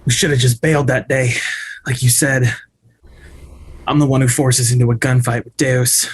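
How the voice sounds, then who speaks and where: A man playing a grieving, guilt-ridden brother in a dramatic table read, tender, with a husky, breathy voice. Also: mid-range voice, little pitch movement, moderate pace, close to an everyday voice. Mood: remorseful.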